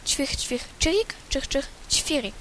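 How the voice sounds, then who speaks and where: A woman's voice: high-pitched, lively intonation, a bit bright, a little hiss or hum, exaggerated voice, low-quality recording. A woman voicing a fussy little sparrow who chirps and scoffs. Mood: scornful. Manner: quick chirping, scornful.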